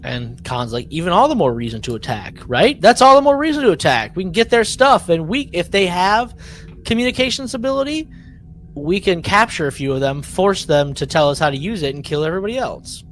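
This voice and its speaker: A man's voice: high voice, sing-song, a little fast, a bit bright, slight background noise. A man co-hosting a casual podcast recap, talking emphatically, repeating himself for effect and acting out mock quotes. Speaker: podcast co-host. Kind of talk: animated casual recap chat.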